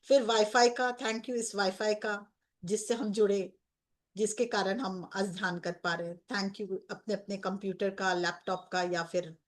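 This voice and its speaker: A woman with an Indian accent leading a guided meditation as a coach, speaking in gentle, repetitive phrases with pauses. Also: medium pitch, fairly even intonation. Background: background music.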